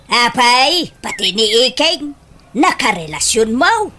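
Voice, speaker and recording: A sharp bright nosy auntie, highish voice, ilocano radio comedy, bit of hiss. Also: female voice, very animated, takes it slowly, heavily acted. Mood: scolding, shocked. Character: scolding, nosy older village auntie.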